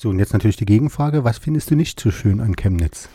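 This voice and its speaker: Husky low-voiced male interviewer. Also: quick pace, no echo, clean studio sound. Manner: brief, relaxed questioning. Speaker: radio interviewer. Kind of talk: radio interview questions.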